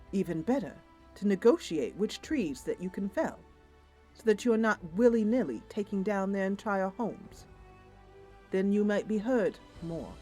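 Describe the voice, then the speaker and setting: Gracious fae sister, voiced by a woman: diplomatic, formal, gently persuasive, varied intonation, fantasy roleplay. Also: medium pitch, slightly rough. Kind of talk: tabletop fantasy roleplay character.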